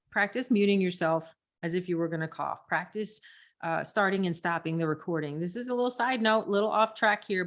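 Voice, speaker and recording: Lady podcast host, slightly low voice, casual teaching chat, giggly and repeats herself to make a point. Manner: giggly, laughs often between phrases.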